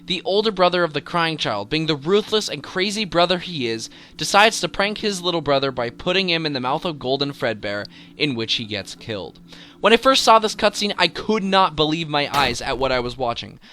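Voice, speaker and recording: A man's voice: on the high side, expressive, fairly quick, bright, forceful tone. A man hosting a scripted top-ten video with enthusiastic, emphatic commentary. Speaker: video creator and countdown host. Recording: music behind the voice. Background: music.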